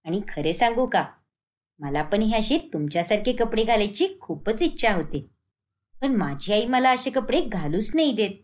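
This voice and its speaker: A woman voices a timid young college girl in a cartoon moral story. She is polite, admiring and wistful, with lively intonation. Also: medium pitch, normal speed, natural voice.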